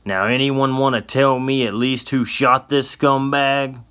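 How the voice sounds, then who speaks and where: A man voicing a crooked, blustering old-West sheriff who barks his words loudly and with scorn. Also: mid-range voice, steady tone, unhurried, voice pushed up, close to an everyday voice. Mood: mocking. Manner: loud, gruff, scornful barking.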